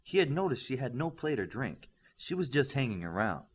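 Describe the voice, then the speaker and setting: A man's voice: mid-range voice, big pitch swings, a little fast, husky. A man reading scripted story narration as an audiobook narrator.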